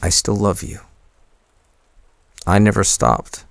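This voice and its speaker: A man acts out a smitten young man, bashful and hesitant, in an intimate boyfriend audio drama. His voice is low and recorded dry and close. He takes his time, stammering softly as he works toward confessing to his crush. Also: animated, slow, gravelly, dry recording, heavily acted. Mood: tender, earnest.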